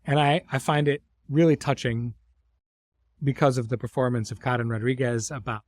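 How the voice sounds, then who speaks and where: A man hosting a conversational interview, speaking thoughtfully in phrases broken by pauses and restarts. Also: medium pitch, fairly even intonation, no echo. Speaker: host, series creator.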